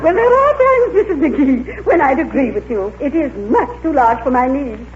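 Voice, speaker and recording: An old, echoey radio recording of a snooty society matron. Her high voice swoops about as she delivers flowery, hesitant sentences with genteel affectation in 1940s comedy style. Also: animated, moderate pace, dull audio, crowd noise, background noise. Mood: haughty, genteel. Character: haughty, fluttery middle-aged society matron with pretensions.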